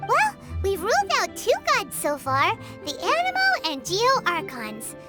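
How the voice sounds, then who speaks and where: Super squeaky tiny fairy girl, bouncy sing-song voice, loud game music behind. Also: huge pitch swings, unhurried, heavily acted. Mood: eager. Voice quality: high, squeaky, bubbly. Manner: refers to herself in the third person. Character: tiny excitable female fairy companion. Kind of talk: video game voice acting.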